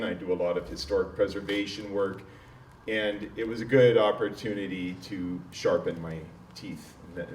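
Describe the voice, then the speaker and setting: A man's voice: fairly low pitch, a little mellow. A middle-aged man, an architectural historian speaking as a guest at an outdoor panel discussion into a handheld mic, telling his story with lots of "uh" fillers and a self-deprecating touch. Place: outdoor courtyard. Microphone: handheld mic, far camera. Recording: echoey, distant, faint background noise.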